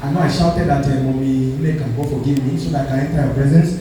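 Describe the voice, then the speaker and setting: A man's voice: mid-range voice, fairly even intonation, fast, warm tone, very echoey, far from the mic, slight background noise, low-quality recording. A male pastor preaching a sermon with emphatic delivery, calling the congregation to respond.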